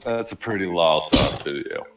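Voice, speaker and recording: A man's voice: extremely low pitch, lively intonation, moderate pace, faint music behind. A man chatting casually with friends while playing an online game. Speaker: gamer in a group voice chat. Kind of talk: casual banter while gaming. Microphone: gaming voice chat. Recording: roomy, distant mic with loud noise.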